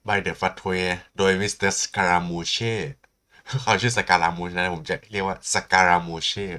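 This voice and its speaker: A man's voice: deep voice, fairly even intonation, racing, bright, forceful tone. A man with a Thai accent, a native Thai speaker, giving playful, casual live commentary as a game streamer and switching languages.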